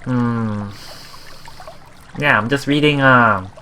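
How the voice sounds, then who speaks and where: A man hosting a let's play, reading game text aloud and adding halting, musing casual commentary, with water sounds behind him. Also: medium pitch, bright, forceful tone, a little hiss or hum.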